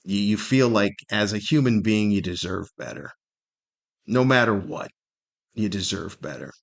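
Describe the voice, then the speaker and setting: A middle-aged man giving a candid, reflective solo podcast monologue in a conversational, thoughtful way, pausing to search for words and repeating himself. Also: on the low side, gravelly. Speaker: podcast host, stand-up comedian.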